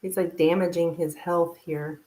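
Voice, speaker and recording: A woman hosting a casual live tarot reading, speaking informally and haltingly as if thinking aloud. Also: lowish voice, flat delivery, mellow. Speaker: tarot reader, live stream host. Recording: roomy, echoing live stream sound.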